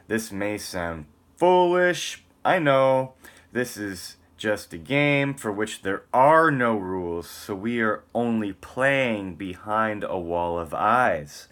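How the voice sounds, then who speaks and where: A man's voice: mid-range voice, expressive, slow, a bit bright. A man giving a dramatic, theatrical spoken-word reading, moving between emphatic lines and muttered casual asides.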